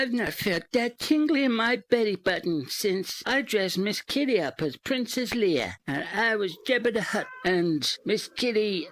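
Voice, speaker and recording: A woman's voice: medium pitch, fairly bright tone, dry recording. A woman playing a giddy, childlike, rambling cat owner in a comedy radio monologue, stammering along and talking to a pet.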